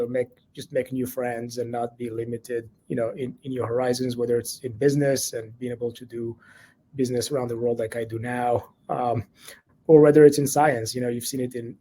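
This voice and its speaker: A man from Morocco with a slight Moroccan accent, an investor guest in a conversational interview, speaking haltingly with many restarts and fillers. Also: mid-range voice, warm tone.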